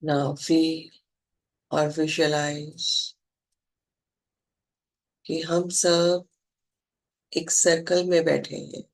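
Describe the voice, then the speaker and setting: An Indian meditation guide speaking calmly and soothingly in short, measured phrases with pauses between them. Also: medium pitch, vocal fry, rough recording.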